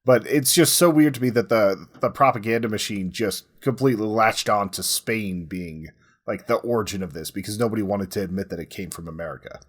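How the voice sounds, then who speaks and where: A man hosts a history podcast in a somewhat low register. His voice has a husky grain, and he tells the story in a conversational, informal way. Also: a little fast. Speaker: podcast host.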